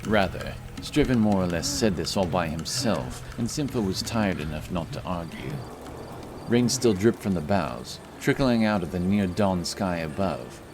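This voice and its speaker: A man's voice: low-pitched, animated, measured pace, noisy background. A man reading scripted narration for a fantasy story as its narrator.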